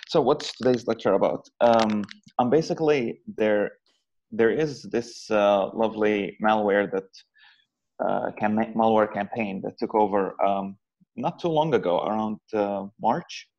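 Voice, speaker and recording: A man's voice: fairly low pitch, gravelly, a little mellow. A young man from Syria giving a hesitant technical guest lecture over a video call, with many fillers and restarts. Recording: dull lo-fi remote audio.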